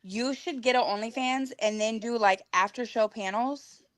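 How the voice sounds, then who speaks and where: A woman's voice: on the high side, normal speed. A woman co-hosting a casual live stream, playful and teasing.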